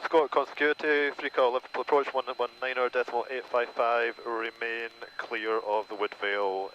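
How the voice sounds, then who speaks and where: Male light-aircraft pilot, mid-pitched, clipped radio procedure, muffled headset sound with some engine drone. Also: little pitch movement, moderate pace, a bit bright. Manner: formulaic, reading back instructions. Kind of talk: clipped aviation radio calls. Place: small propeller aircraft cockpit. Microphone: aviation headset. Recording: dull, noisy cockpit intercom.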